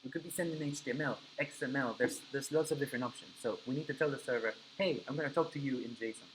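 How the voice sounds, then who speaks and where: A man's voice: mid-range voice, fast, slight background noise, low-quality recording. A man whose first language is Spanish teaches a live coding class, explaining step by step and checking in with his students. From Argentina. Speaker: coding instructor.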